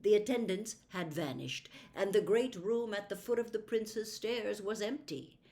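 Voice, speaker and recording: Slow, measured woman storybook reader. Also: mid-range voice, warm tone. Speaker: audiobook reader. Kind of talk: scripted storybook narration.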